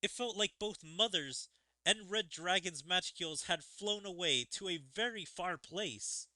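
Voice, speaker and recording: A man's voice: sits high, unhurried, sharp tone, no echo, heavily acted. A man voicing an earnest, emotional young daughter from a fantasy game. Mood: wistful, uneasy. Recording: game music behind the voice.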